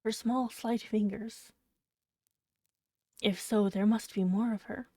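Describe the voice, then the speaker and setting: A woman voicing a blind, tormented gentleman from a novel, imperious and desperately yearning in an impassioned delivery. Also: mid-range voice, fairly even intonation, slow, no room sound, studio quality. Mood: amazed.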